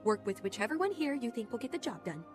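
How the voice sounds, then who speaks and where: Bossy brisk schemer mentor for a fantasy game, slightly high voice, light music. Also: female voice, sing-song. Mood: directive. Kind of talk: video game voice acting.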